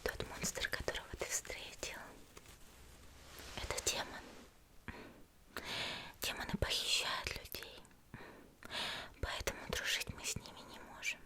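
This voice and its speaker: A woman acting as a young female demon slayer healer speaks in a soft, airy whisper with a very bright, crisp edge. She is gentle and composed, with a soothing, politely teasing manner. It is a close-miked anime ASMR roleplay, unhurried and intimate. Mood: calm, confiding. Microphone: close ASMR microphone.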